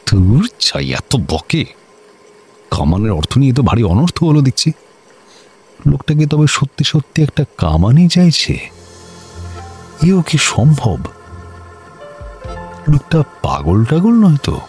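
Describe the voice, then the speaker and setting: A man's voice: mid-range voice, very animated, unhurried, long pauses, a little mellow, breathy edge, exaggerated voice. Officious male magistrate, comic radio drama; mid-range voice, curt and exasperated, music underneath. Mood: impatient, baffled. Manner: curt, questioning, authoritative. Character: impatient, baffled male district magistrate, officious boss.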